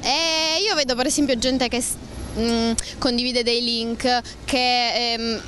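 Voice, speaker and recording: A woman in her twenties answers a street interview into a handheld mic, her voice fairly high. She speaks earnestly and pauses with fillers to find her words. Faint street sound sits behind her. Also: fairly even intonation, a bit bright, slight background noise. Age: 20s. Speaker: passer-by interviewee. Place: outdoors on a town street. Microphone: handheld mic held by interviewer.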